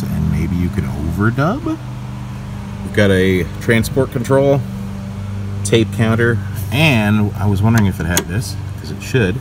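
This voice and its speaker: Low-voiced man, lively intonation. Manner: conversational, wry, self-questioning asides. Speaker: vlogger and video transfer hobbyist. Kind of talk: casual gear tour vlog, thinking aloud. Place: outdoors in a backyard, at a patio table. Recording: clear outdoor ambient noise.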